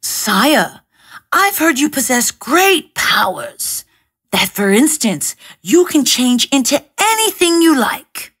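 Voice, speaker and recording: A woman's voice: high-pitched, very animated, slow pace, fairly bright tone, pitched above the performer's own voice, heavily acted. A woman voicing a crafty, confident, persuasive talking cat in a storybook tale. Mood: sly flattery. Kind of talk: storybook character voice.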